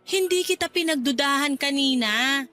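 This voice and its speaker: A woman's voice: high-pitched, moderate pace, bright, forceful tone, faint music behind. An actress playing a sulky, jealous wife in a radio drama, accusing and defensive as she bickers. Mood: sullen, defensive. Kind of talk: Tagalog radio drama acting.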